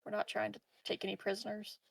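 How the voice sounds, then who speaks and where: A woman's voice: mid-range voice, monotone, fast talker, gravelly, crowd noise. A woman chatting casually as a player in an online tabletop roleplaying game.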